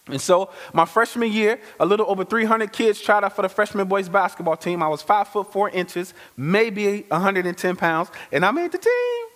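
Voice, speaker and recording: A man giving a lively, conversational testimony sermon as a guest speaker, full of slang. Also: high-pitched, moderate pace, hardly any pauses. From Chicago. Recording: reverberant hall.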